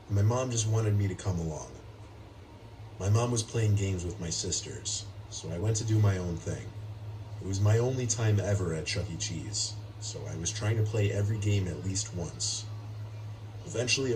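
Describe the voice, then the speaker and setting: A man delivers scripted first-person horror narration in a very low voice. The sound is distant, as if played back across a room, with clear noise and ambience around it. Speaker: scary story narrator. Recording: playback heard from afar, noisy.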